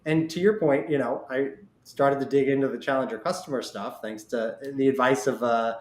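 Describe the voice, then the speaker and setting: A man's voice: mid-range voice, fairly even intonation, moderate pace. A man hosting a casual podcast interview, talking conversationally and telling stories with quoted asides. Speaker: podcast host. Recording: roomy, echoey sound.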